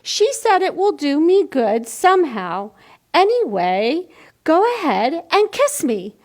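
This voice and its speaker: A woman's voice: sits high, sing-song, takes it slowly, voice pushed up, full character voice. A woman voicing a haughty, insistent young male frog prince in a children's storybook. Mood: insistent, proud. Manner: insistent, prim.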